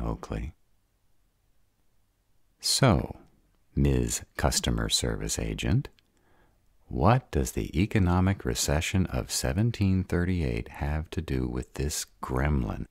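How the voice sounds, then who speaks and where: A man playing a gruff, hot-tempered were-dragon protective of his mate in an audio roleplay, gravelly, blunt and growling. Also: extremely low pitch, dry recording, studio quality, exaggerated voice. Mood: exasperated. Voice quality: gravelly, raspy. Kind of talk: audio roleplay drama acting.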